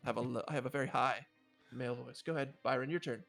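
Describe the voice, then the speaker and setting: A man's voice: medium pitch, fast, vocal fry, fairly bright tone, faint music behind. A man narrating a tabletop game as game master in a casual, loose, improvised way. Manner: casual, swearing.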